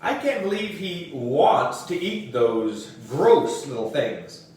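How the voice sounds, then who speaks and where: A man reads as an excitable little schoolgirl from a children's storybook. The voice is pushed high and swoops emphatically. It sounds very echoey. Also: slow pace, distant mic. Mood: disgusted. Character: excitable young schoolgirl, easily delighted or grossed out.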